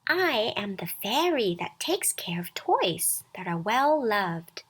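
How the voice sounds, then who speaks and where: Bir kadının canlandırdığı ağır konuşan minik, iyi kalpli peri. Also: female voice, mid-range voice, big pitch swings, slow pace, a bit bright, breathy edge, exaggerated voice. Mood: kindly. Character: tiny kindly magical fairy, reassuring. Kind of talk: children's storybook character reading.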